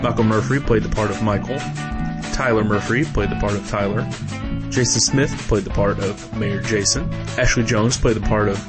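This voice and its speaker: A man's voice: lowish voice, steady tone, normal speed. A man casually reading out podcast credits as the announcer. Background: loud guitar music.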